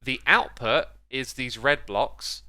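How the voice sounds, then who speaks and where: A man's voice: mid-range voice, slow, sharp tone, no echo. A man hosting a gaming video, chatting casually and explaining a build step by step. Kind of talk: casual chat while playing and explaining a game build.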